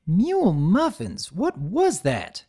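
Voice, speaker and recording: A woman's voice: lowish voice, huge pitch swings, slow, dark tone, breathy edge, voice pushed up, exaggerated voice. A woman voicing a plucky young country filly who speaks bluntly, with folksy slang. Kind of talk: dramatic audiobook reading.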